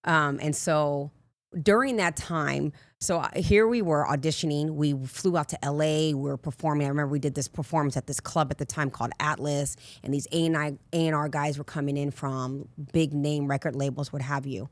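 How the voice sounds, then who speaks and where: A raspy woman in her forties, a life coach telling candid personal stories in a casual interview. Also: sits low, fairly even intonation, fairly quick, dry recording. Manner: candid, rambling storyteller with frequent asides. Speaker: life coach, podcast guest.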